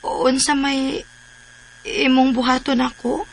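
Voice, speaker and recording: A woman's voice: high voice, flat delivery, takes it slowly, full character voice. A woman playing a long-suffering, indignant young girlfriend in a radio melodrama, emotive and reproachful, with a Cebuano accent. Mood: gently puzzled. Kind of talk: melodramatic Filipino radio drama acting.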